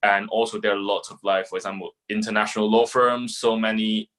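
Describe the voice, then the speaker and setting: A man in his twenties speaks as a guest on an interview podcast. He has a Hong Kong accent and a voice a little lower than average. His delivery is casual and informal, often circling back over his phrases. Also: little pitch movement, a little fast, a bit bright. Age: 20s. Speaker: podcast guest, young lawyer.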